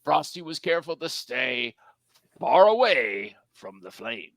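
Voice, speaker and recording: A man narrates a storybook tale in a bright, slightly high voice. He leans on words like a storyteller and adds wry asides, with creaky edges. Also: sing-song, unhurried, no echo. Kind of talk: animated storybook narration at a live script reading.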